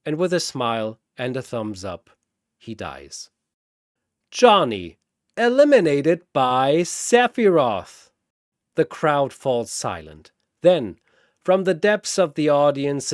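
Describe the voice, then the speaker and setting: A man narrates a dramatic scripted battle tale. His delivery is slow with lively swings in pitch, and the recording is very dry and close. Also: no echo.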